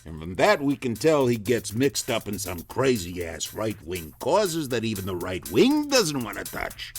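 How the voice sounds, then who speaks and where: Brusque male federal agent for noir spoof, gravelly, animated delivery over music. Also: lowish voice, measured pace, heavily acted. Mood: guarded. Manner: brusque, interrogating, colloquial. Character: gruff, bossy male FBI agent. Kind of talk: campy noir detective radio play.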